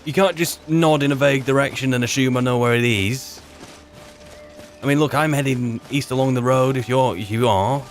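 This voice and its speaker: Male roleplayer for fantasy game commentary: medium pitch, wry, improvised and slightly hesitant. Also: normal speed, faint music behind. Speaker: roleplay video maker and player.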